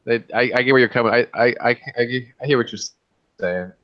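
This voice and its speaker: A man with a smooth, resonant voice talks hesitantly, stammering through restarts before he gets his point out. His sound is dry and a little dull, like a lo-fi call connection. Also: medium pitch, fast, dry recording. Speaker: video call participant. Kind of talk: casual chat.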